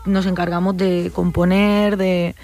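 A woman's voice: fairly low pitch, little pitch movement, a little slow, darkish tone. A young adult woman with a Spanish accent from Barcelona, a flamenco singer answering interview questions in a thoughtful, hesitant way, full of fillers and restarts. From Spain.

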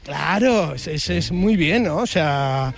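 A man's voice: a little high, lively intonation, slow, creaky, loud music behind the voice. A man from Spain reporting live outdoors in a park into a handheld microphone, chatty and jokey, often stumbling and restarting his words. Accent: Spain Spanish. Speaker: street reporter.

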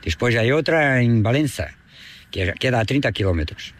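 A man's voice: medium pitch, lively intonation, moderate pace. A middle-aged Portuguese boatman and fisherman with a Portuguese accent. He is a native Portuguese speaker who chats casually and often tags his phrases with a questioning "no?". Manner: chatty, ends phrases with a questioning "no?". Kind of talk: casual interview on a boat.

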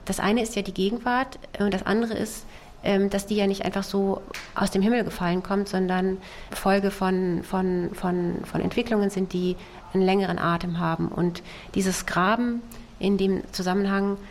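A woman novelist with Russian as her first language, raised in Germany, answering interview questions thoughtfully with many pauses, fillers and restarts. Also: mid-range voice, little pitch movement, slight background noise. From Germany, child of Soviet immigrants. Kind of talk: literary interview.

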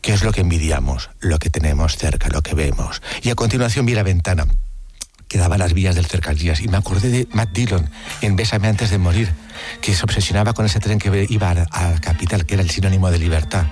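Male radio contributor, low pitch, reflective conversational storyteller, music bed underneath. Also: fast, darkish tone. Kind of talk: conversational anecdotes about cinema. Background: background music.

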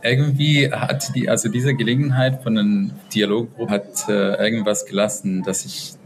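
A man from Israel speaking non-native German as an interview guest, haltingly searching for words, connected remotely. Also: on the low side, steady tone, crowd noise. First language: not German. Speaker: interview guest, social psychologist. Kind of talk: conversational interview answers. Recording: noisy café over remote connection. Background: café background noise.

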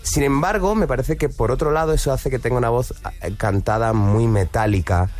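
A man's voice: medium pitch, fairly quick, a little mellow. Congested, nasal-voiced man. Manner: reflective, explains with examples. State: congested from a cold. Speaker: musical theatre actor. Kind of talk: interview answer about his voice.

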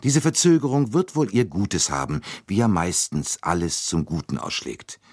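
A man's voice: low voice, sing-song, moderate pace, darkish tone, slightly rough, no echo, voice pushed up, exaggerated voice. A man voicing a tearful, meek Swedish woman passenger in an audiobook reading. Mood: contrite. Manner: plaintive, self-reproaching.

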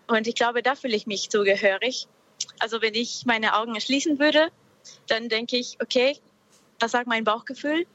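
A woman's voice: a little high, steady tone, normal speed, fairly bright tone, dry recording. A dull, lo-fi line carries a woman. She talks casually and reflectively, often searching for words as she goes. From Peru. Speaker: caller, guest on a radio show. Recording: dull, lo-fi connection.

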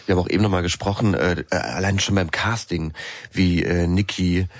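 A man speaking casually as an interviewed actor, halting as he searches for words. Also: sits low, fairly even intonation, no room sound. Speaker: actor interviewed about his film.

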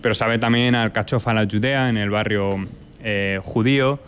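Man, from italy and spain, restaurant owner, lively interview answers, italian food words, faint background noise. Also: lowish voice, moderate pace.

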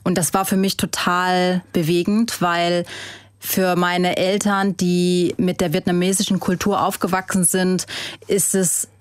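A woman from Germany, a guest and author, giving thoughtful, measured interview answers with the odd hesitation. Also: lowish voice, flat delivery, clean tone, dry recording, studio quality.

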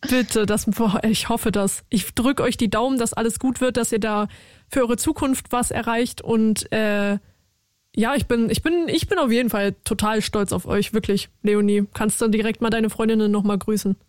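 A woman's voice: a little high, moderate pace, dry recording, clean studio sound. Chatty young woman radio host. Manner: chatty, lively, slangy and warm toward callers. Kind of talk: casual radio call-in chat. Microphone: studio mic.

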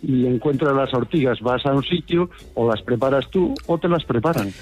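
A man's voice: medium pitch, moderate pace, a little mellow, faint music behind. A man from Galicia calling in to a radio show, giving eager, rambling explanations. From Galicia, Spain. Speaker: radio caller. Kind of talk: phone-in chat.

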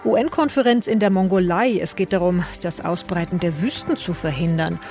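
A woman's voice: lowish voice, moderate pace, faint music behind. Woman reading radio news and traffic. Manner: crisp, even broadcast delivery. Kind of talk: read news, traffic and weather bulletin. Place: radio studio.

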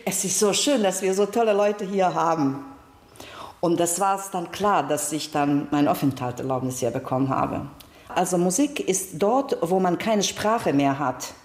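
A woman's voice: lowish voice, a little mellow. A middle-aged woman from Serbia, a native Serbo-Croatian speaker with a Balkan accent in German, telling personal stories expressively as an interview guest. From Serbia, former Yugoslavia. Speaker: guest, singer, actress and author. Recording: roomy, echoing space.

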